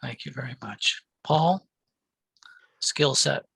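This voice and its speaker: A man's voice: medium pitch, slow, gravelly, a bit bright, husky, dry recording. A man with a Canadian accent moderating a debate, reading out questions and handing the floor over briefly. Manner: plain, brief handoffs.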